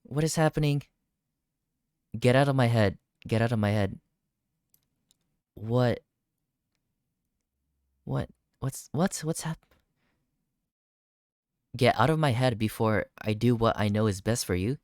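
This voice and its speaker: A game streamer with a mid-pitched voice reads a visual novel aloud. The narration and dialogue give way to startled personal reactions. The recording is very dry, with almost no room sound. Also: slow, pauses often, darkish tone, dry recording. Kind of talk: gaming playthrough, reading visual novel text aloud.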